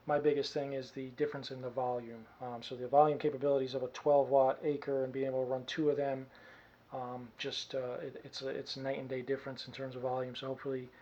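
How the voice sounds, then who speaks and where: A man casually and haltingly explaining gear in a demo, recorded by a camera mic in a small room. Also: medium pitch, flat delivery, mellow, highs cut off. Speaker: costume hobbyist demonstrating gear. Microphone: camera mic from a short distance.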